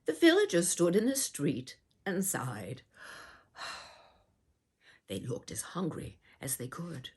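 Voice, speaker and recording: A woman reading a children's storybook aloud in a theatrical, deliberate way, as if addressing young listeners. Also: lowish voice, huge pitch swings, husky. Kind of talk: performed children's storybook narration.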